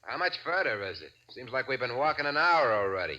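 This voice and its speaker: A man playing a greedy, suspicious gunman in a 1940s radio drama, with gruff, pushy, clipped talk. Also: mid-range voice, sing-song, a little fast, pushed and bright, dull audio. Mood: suspicious, impatient. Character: greedy, suspicious, threatening male crook with a gun.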